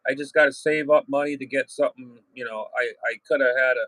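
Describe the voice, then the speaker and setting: A man's voice: medium pitch, steady tone, quick pace, a bit bright, dry recording, rough recording. A man chatting casually as a radio hobbyist, plain-spoken and salty, often trailing off and circling back. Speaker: amateur radio hobbyist and channel host. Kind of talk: casual rambling chat.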